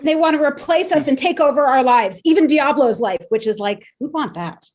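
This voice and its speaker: A woman playing an insecure, sardonic pet in an animated comedy table read, with a casual delivery. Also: sits high, a little fast. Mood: disdainful.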